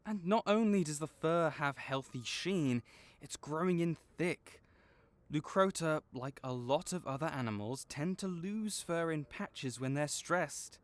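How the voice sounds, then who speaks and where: A man playing a kind, patient veterinarian for magical creatures in a comforting audio roleplay, explaining things gently and encouragingly. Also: a little high, animated, measured pace. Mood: upbeat, approving. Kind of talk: comforting audio roleplay, one-sided conversation.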